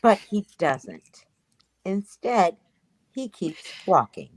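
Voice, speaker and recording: A woman's voice: medium pitch, big pitch swings, takes it slowly, no room sound. A woman reading stage directions as scripted narration at a play table read.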